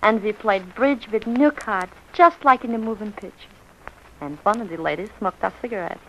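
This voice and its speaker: A woman's voice: medium pitch, moderate pace, a bit bright, natural voice. An actress playing a breezy, social-climbing middle-aged mother, chatty and blithely pleased with herself, in a 1940s radio comedy. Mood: proud, breezy. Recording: noisy muffled vintage radio recording.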